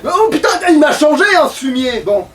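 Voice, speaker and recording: A man's voice: very high voice, sing-song, fairly quick, a bit bright, faint music behind. A man giving casual gaming commentary as he plays, grumbling and swearing. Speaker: let's play co-host playing the game. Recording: distant mic with faint background noise.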